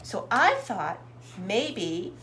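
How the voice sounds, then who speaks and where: A woman's voice: mid-range voice, slight background noise. A woman hosting children's storytime, reading expressively to young listeners and addressing them directly. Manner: slow, expressive, sounds out words and asks listeners questions. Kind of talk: picture book read-aloud for young children.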